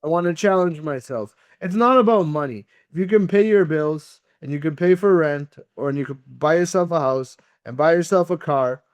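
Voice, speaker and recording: A man's voice: a little high, moderate pace, few pauses, no room sound. A man whose first language is Russian, a podcast guest talking earnestly about hard work, often repeating words as he starts sentences. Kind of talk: conversational interview.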